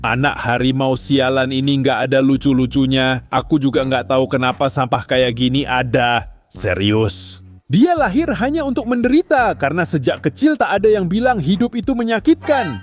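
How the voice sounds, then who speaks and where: Seorang pria membawakan narasi komedi dengan nada sarkastis dan jengkel, yang sesekali berubah menjadi gaya mendayu seperti bernyanyi. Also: male voice, medium pitch, lively intonation, normal speed, faint music behind. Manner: sarcastic and exasperated, mocking tone. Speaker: commentary narrator. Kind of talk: comedic commentary voice-over.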